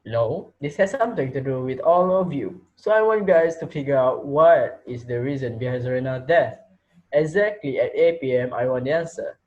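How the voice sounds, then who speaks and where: A man's voice: mid-range voice, normal speed, a little mellow, muffled recording, natural voice. A man playing a sinister, taunting teacher holding his students captive in an amateur dramatic reading. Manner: theatrical, taunting. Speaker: actor in a student reader's theater.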